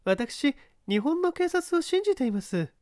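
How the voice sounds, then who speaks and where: Male reader as a composed society lady, much higher pitch, soft refined delivery, mystery audiobook. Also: very animated, measured pace, no echo, studio quality, exaggerated voice. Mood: gracious. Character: genteel, smiling wealthy lady, polite and composed.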